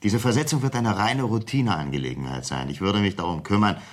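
A man's voice: on the low side, a little fast, natural voice. An actor playing a cold, greedy, imperious businessman in a 1970s German radio crime play. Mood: dismissive. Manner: imperious, curt, commanding. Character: cold, greedy, domineering businessman running a drug scheme.

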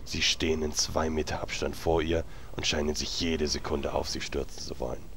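A man's voice: extremely low pitch, level tone, normal speed, fairly bright tone, slight background noise. A man acting an exasperated game master in a comedy audio drama, explaining things in a weary, impatient way. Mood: weary narrating. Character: exasperated male game master of a tabletop group.

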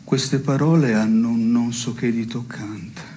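A man's voice: medium pitch, steady tone, unhurried, dark tone, lightly acted. An actor playing a needy, self-satisfied man in an absurdist radio theatre dialogue, with a wry, mock-earnest delivery. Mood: contemplative. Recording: noisy stage recording with ambience.

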